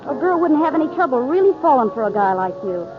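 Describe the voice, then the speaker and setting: Music plays clearly behind a muffled radio recording of a brassy barroom dame. She is high-voiced, slangy and flirtatious, pushing and clinging. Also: very animated, fast talker. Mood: cajoling. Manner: slangy, pushy. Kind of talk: 1940s American radio drama acting.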